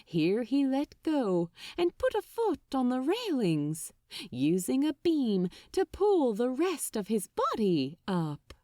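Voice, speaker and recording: Very expressive, unhurried woman narrator. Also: high voice, no room sound, clean studio sound. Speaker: audiobook narrator. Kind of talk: expressive scripted fiction narration.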